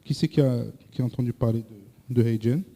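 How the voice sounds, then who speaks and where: A male presenter lecturing a live audience, hesitant, often repeating words and filling pauses, asking the room questions. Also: mid-range voice, mellow. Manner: addresses the audience directly with questions. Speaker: workshop presenter.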